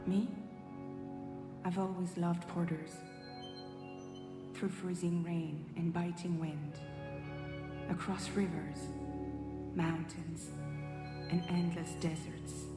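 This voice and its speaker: A woman's voice: on the low side, level tone, slow, pauses often. A woman playing a wistful, admiring character who delivers a video game monologue. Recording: echoey hall reverb under music.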